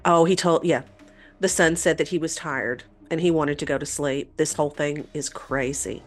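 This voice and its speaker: A woman's voice: low voice, fairly even intonation, normal speed, soft background music. A woman co-hosting a talk show, telling a story in a conversational way. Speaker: podcast host telling a paranormal story.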